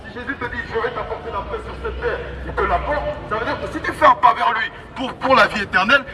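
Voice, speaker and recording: A man with a high voice preaches on the street through a megaphone. His delivery is emphatic and repetitive, urging listeners on. The sound is distant, with clear outdoor traffic noise around him. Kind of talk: evangelistic street sermon.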